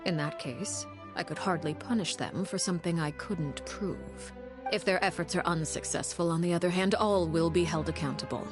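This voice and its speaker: Woman acting as cold female game villain, lowish smooth voice, formal, measured, veiled menace, music behind. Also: lightly acted. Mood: coolly menacing. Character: cold, menacing female leader of a secretive orphan house.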